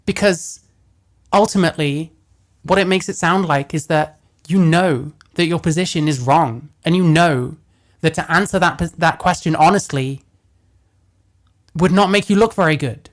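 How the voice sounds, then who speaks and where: A man with a deeper voice giving casual reaction commentary, blunt and profane as he rants. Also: normal speed. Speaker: commentary host.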